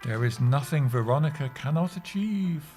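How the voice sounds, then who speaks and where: A man's voice: a little high, expressive, moderate pace, warm tone, faint music behind, natural voice. Man playing a pompous, theatrical older office mystic. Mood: hypnotic, soothing. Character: pompous older male office worker, earnest martial-arts and mindfulness dabbler. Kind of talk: comedy audio drama acting.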